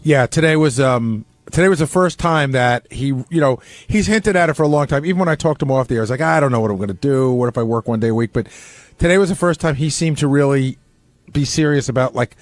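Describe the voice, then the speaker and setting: A man's voice: mid-range voice, expressive, fast talker, dry recording. A man who works as a radio producer, chatting off the cuff and often rephrasing himself mid-sentence. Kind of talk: casual unscripted discussion. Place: radio studio. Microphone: close studio microphone.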